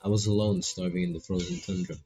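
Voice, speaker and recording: A man's voice: low-pitched, little pitch movement, fast talker, dark tone, soft background music, natural voice. A man voicing a sassy, indignant robot parrot in a visual novel. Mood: dramatic grievance. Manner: snappy, melodramatic.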